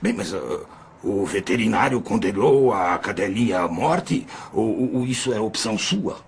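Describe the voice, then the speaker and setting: Creaky, gentle old pharmacist played by a man. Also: fairly low pitch, animated, full character voice. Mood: hesitant concern. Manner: hesitant, gentle, with drawn-out interjections. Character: calm, kindly old pharmacist, hesitant and paternal. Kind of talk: audio drama acting.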